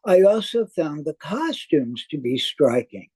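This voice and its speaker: A man's voice: fairly high pitch, very animated, measured pace, dark tone, husky. An older man delivering a scripted theater review.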